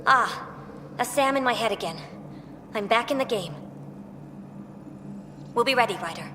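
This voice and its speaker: An actress playing a composed, resolute alien captain in a video game, dry and authoritative. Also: female voice, a little high, lively intonation, unhurried, background noise. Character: composed, resolute female alien captain, freshly freed from captivity. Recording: long cavernous reverb.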